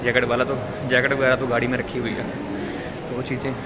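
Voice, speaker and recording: A man's voice: medium pitch, completely flat delivery, fast talker. Young guy chatting casually for a vlog, phone mic, really noisy with wind and ambience. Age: young adult. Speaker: vlogger. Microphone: handheld phone at arm's length.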